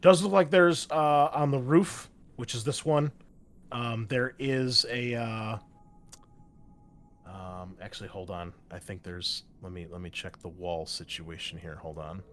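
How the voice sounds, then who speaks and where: A man's voice: fairly low pitch, a little slow, a little hiss or hum. A man running an online tabletop game as game master, rambling with many fillers and self-corrections.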